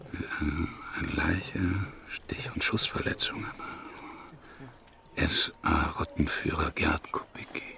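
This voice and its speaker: An actor playing a polite, shrewd American man abroad in a radio drama, speaking deliberately with a gravelly voice. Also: a bass voice, noisy background, exaggerated voice. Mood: muttering, intrigued.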